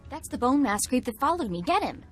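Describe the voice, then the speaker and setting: An actress playing a young, spirited woman sidekick with a quick, wry way of talking, over game music. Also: sits high, sing-song. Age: young adult. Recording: music behind.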